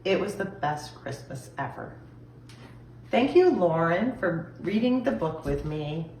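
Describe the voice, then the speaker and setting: A woman reading a children's story aloud in an expressive style, recorded in an echoey room. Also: lowish voice, a little slow. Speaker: storybook reader. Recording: echoey room, distant mic, faint noise.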